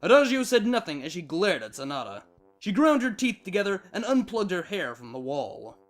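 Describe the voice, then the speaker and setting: A man narrating a scripted story with dramatic, expressive delivery. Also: sits high, brisk. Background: background music.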